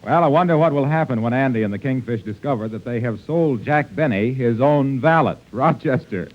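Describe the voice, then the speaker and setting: A man's voice: medium pitch, lively intonation, a little fast, over music. A man announcing a 1940s radio show, reading scripted narration and sponsor links. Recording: muffled 1940s radio recording.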